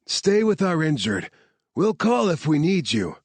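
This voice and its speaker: A man's voice: fairly high pitch, big pitch swings, unhurried, slightly rough, dry recording, voice pushed up, full character voice. A man voicing a tough male rescue team leader who gives blunt orders and short reassurances. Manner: blunt, commanding.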